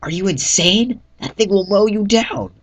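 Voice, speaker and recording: A man voicing a panicked young pony soldier in an amateur fan fiction reading, loud, alarmed and incredulous. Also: sits high, moderate pace, voice pushed up. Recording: dull lo-fi mic in echoey room.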